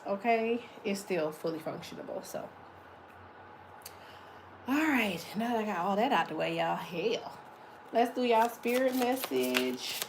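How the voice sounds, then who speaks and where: A woman with a deep voice giving a casual, chatty tarot reading, swearing freely as she talks. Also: animated, measured pace, long pauses, background noise. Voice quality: deep, slightly muffled voice. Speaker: tarot reader.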